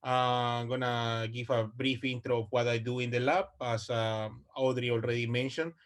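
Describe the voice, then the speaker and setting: A man's voice: mid-range voice, fairly even intonation, normal speed. Hesitant man presenting. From Ecuador. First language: Spanish. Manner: hesitant, many fillers and restarts. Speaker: university researcher giving a talk. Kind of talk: online presentation. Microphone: video call.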